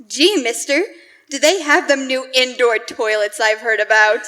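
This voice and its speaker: A woman's voice: on the high side, a little slow, bright, forceful tone. A woman playing a folksy, eager, gullible Victorian tenant in an old-time radio ad, performing live in a church hall. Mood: wide-eyed excitement. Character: gee-whiz wide-eyed Victorian London tenant woman in a mock ad.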